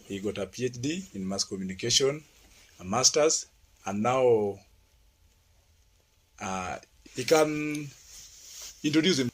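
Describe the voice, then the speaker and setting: A man's voice: medium pitch, animated, a little slow, pauses often, slightly rough. A man hosting an interview, steering it with brief prompts and questions. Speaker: host. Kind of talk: interviewing a guest.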